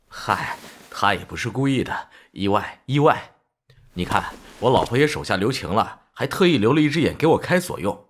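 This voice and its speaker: An actor playing a sheepish, henpecked working-class man in his forties in an animated crime drama, deferential, folksy and hesitant. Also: fairly low pitch, normal speed. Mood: sheepish, good-humored. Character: sheepish, henpecked 45-year-old working-class male driver.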